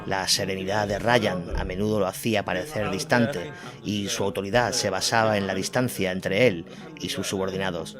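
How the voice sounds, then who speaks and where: A male narrator recounts a war story in the first person. It is a scripted read, set against clearly audible instrumental music. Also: low voice, steady tone, moderate pace.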